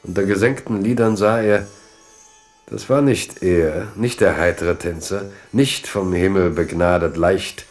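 Solemn guy narrating a literary radio play, really slow and measured, bit of music underneath. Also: deep voice. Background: faint music.

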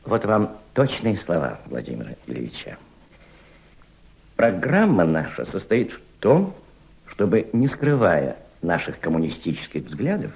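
An actor playing an impassioned senior Soviet diplomat in a radio drama, mentoring a young subordinate with emphatic, didactic rhetoric and deliberate repetition. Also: male voice, deep voice, creaky, heavily acted, rough recording. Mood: firm, instructive. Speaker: actor in an audio play.

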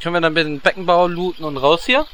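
A sleazy, scheming adventurer, acted by a man, sly and gleeful. His voice sits a little high. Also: fairly even intonation, rapid-fire, fairly bright tone, a little hiss or hum, close to an everyday voice.

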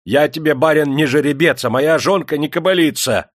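Male narrator as proud peasant serf, defiant, heated, firm, raised pitch, storybook delivery, dry sound. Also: a little fast, no room sound, natural voice. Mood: angry defiance.

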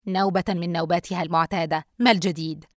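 A woman voicing an irritable, cold young man, terse and gruff, in a dramatised audio story. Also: on the low side, brisk, sharp tone, dry recording, clean studio sound.

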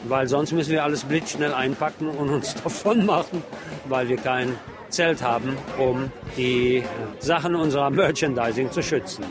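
A man's voice: medium pitch, background noise. A man presenting radio reportage as host and street reporter, switching between German and Spanish.

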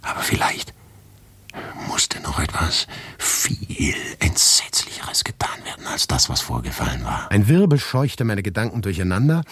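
An actor playing an agitated writer who narrates his own macabre tale in a radio drama, husky and intense, lapsing into whispers. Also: huge pitch swings, normal speed, fairly bright tone, no room sound, heavily acted. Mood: horrified, hushed. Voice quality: very deep, husky, gravelly, often breathy whisper. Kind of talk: literary radio drama narration, first person.

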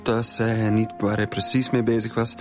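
An actor as a composed Flemish son, faint music. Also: male voice, on the low side, flat delivery, moderate pace, natural voice. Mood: measured. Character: composed, soothing adult son of a crime victim. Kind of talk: naturalistic radio drama dialogue.

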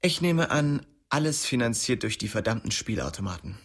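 A man's voice: mid-range voice, big pitch swings, normal speed, gravelly. A male actor in a radio play, playing a cornered young gang boss who is bitter, guarded and accusing. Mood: bitter, bargaining.

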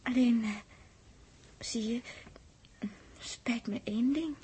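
Tender young female crew member, very high voice, slow halting delivery, breathy half-whispers, emotional outbursts, vintage radio drama. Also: warm tone, heavily acted. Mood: tender, teasing. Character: young woman crew member, weary, tender, coquettish, easily alarmed. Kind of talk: 1960s Dutch radio drama acting.

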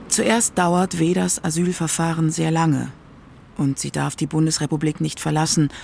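A man narrating a scripted radio documentary in a measured, solemn manner. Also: on the high side, vocal fry, darkish tone, a little hiss or hum.

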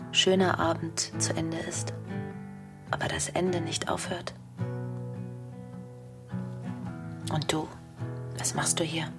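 An actress playing a melancholy, restless young woman in a naturalistic radio drama. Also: sits low. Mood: wistful. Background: guitar music.

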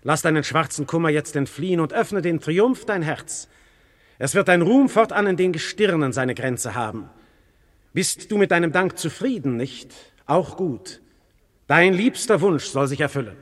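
An actor playing a majestic, lofty god in a classical verse radio drama, declaiming solemnly. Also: male voice, fairly high pitch, animated, moderate pace, no room sound, clean studio sound, lightly acted. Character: commanding god Zeus in a husband's likeness, majestic, lofty.

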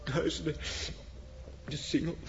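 A man's voice: a little high, little pitch movement, slow pace, vocal fry. An actor playing a frightened, submissive young man in a crime drama, stammering and deferential, with a Flemish accent. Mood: shaken. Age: young adult. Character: frightened, submissive young male disciple, shaken and pleading. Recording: muffled, echoey, loud music.

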